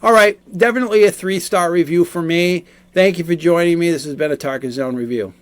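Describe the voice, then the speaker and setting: A man's voice: on the high side, brisk, smooth, no echo. A man giving a casual, unscripted product review in a rambling, plain-spoken way.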